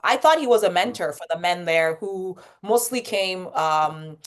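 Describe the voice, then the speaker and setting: A woman's voice: fairly low pitch, expressive, measured pace. A woman hosting a casual group book discussion, thinking aloud and putting questions to the others. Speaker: book club discussion host.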